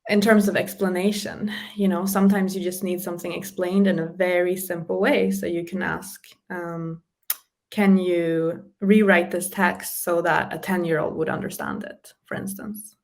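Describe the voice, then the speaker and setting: A woman with a low, slightly creaky voice talks through practical advice in a casual interview. She explains step by step and laughs lightly between her points. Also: little pitch movement, normal speed. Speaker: guest, communication specialist. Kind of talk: casual interview chat giving practical tips.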